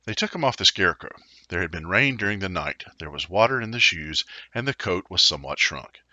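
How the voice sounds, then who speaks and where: A man reading a children's story aloud in an animated, theatrical way. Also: low voice, brisk, no room sound. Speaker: storybook reader. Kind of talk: expressive children's story narration.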